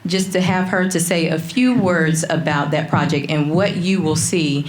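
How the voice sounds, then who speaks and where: A woman hosting a public ceremony, introducing speakers in a measured way with some hesitations. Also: low voice, fairly even intonation, slight background noise, low-quality recording. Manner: measured, with fillers. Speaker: event host introducing speakers. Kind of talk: formal public announcement.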